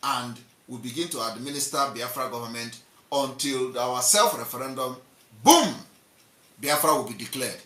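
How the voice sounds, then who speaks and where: A man's voice: mid-range voice, moderate pace, fairly bright tone, distant mic. A man with a Nigerian accent giving an impassioned, repetitive political monologue, mocking and emphatic. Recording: roomy echo, off-mic.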